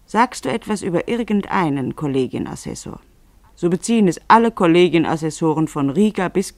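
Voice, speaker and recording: A narrator reading scripted literary prose for a radio play in a measured, explanatory, mock-formal way. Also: mid-range voice, no room sound.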